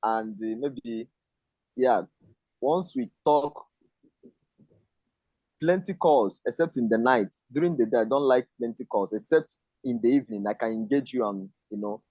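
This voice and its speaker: A man joins a casual video-call discussion and talks in a hesitant, searching way. His voice sits at a middle pitch. The audio is dry and muffled, like laptop call sound. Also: a little slow, dark tone, no echo. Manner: hesitant, searching for words, fillers. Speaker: panel guest.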